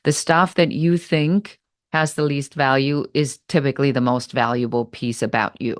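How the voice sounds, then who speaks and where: A woman from Germany with a German accent, answering interview questions in a deliberate, explanatory way. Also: very deep voice, steady tone, no room sound, studio quality. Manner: deliberate, explanatory, pace shifts between slow and quick. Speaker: business strategist guest.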